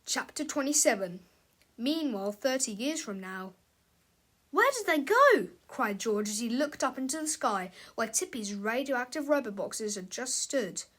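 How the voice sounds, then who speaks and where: A woman giving an expressive read-aloud narration of a children's book. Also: on the high side, normal speed. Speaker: storybook reader.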